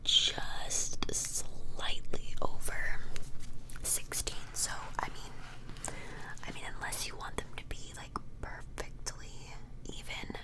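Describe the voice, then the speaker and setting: A woman doing an ASMR personal-attention role play in a gentle, hesitant, breathy whisper, very close to the microphone. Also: slow pace, rough recording. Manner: gentle, hesitant, murmured admiring asides. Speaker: ASMR performer.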